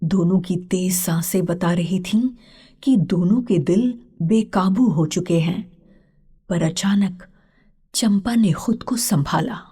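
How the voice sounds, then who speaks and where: A woman narrating a literary story in a measured, breathy way. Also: on the low side, warm tone.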